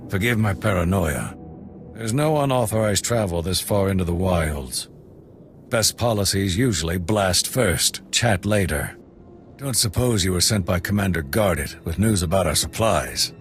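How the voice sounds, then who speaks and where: Frontier officer, very low pitch, gruff, wary, clipped, authoritative, game cutscene, faint music. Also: male voice, expressive, moderate pace, a bit bright. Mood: gruff, businesslike. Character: gruff, wary frontier military outpost commander. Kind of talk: dramatic video game acting.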